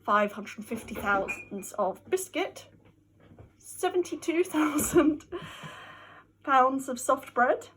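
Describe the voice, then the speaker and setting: A woman giving a theatrical, mock-scholarly dramatic reading of a classic novel, with emphatic delivery and a husky voice. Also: high voice, lively intonation, takes it slowly, pauses often, fairly bright tone, slight background noise, rough recording. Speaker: amateur reader.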